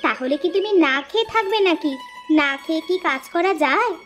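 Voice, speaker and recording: A woman's voice: extremely high pitch, animated, brisk, soft background music. A woman voicing a caring, teasing village housewife and mother who fusses and argues in a cartoon. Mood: fussing, caring. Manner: fussing, scolding affectionately. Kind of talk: Bengali cartoon dialogue acting.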